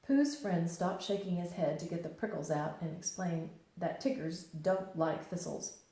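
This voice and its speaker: A woman teacher reading a children's story aloud with expressive, lively storytelling in a very echoey room. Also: lowish voice, unhurried. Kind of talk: children's read-aloud narration.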